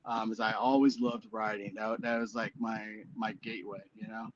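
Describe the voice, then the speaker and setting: A man's voice: fairly low pitch, little pitch movement, moderate pace, muffled recording, slight background noise. A man in his forties, a guest on a casual chat show, talking in a rambling, hesitant way with many fillers and restarts. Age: 40s. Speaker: guest musician.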